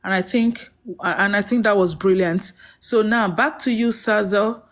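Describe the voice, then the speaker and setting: A woman's voice: medium pitch, fairly even intonation, unhurried. A woman moderating a group discussion, calling on speakers firmly and directly. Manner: firm, directive.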